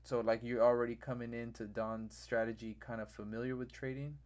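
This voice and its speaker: A man's voice: mid-range voice, flat delivery, brisk, a little mellow. A man hosting a live trading stream, explaining loosely with many fillers, then chatting with a caller. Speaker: livestream host, day trader. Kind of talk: casual live-stream commentary. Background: music playing.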